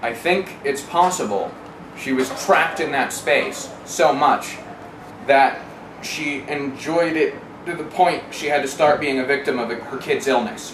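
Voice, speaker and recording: Man in a noisy, roomy distant recording. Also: medium pitch. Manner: wry, sardonic, mildly profane; discursive, analytical asides. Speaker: interviewee recounting personal experience. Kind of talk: candid first-person oral history.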